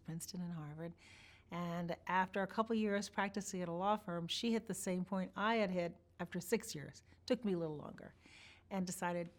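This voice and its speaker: A woman in an interview, telling personal stories in a measured, thoughtful way with pauses. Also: lowish voice. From born in Iran, grew up partly in Chicago. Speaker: interview guest. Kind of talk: reflective personal storytelling.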